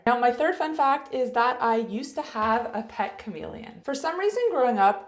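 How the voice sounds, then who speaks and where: A woman's voice: medium pitch, darkish tone, reverberant. A young adult woman with a Canadian accent, chatting upbeat in a get-ready-with-me vlog as a health coach, laughing between points.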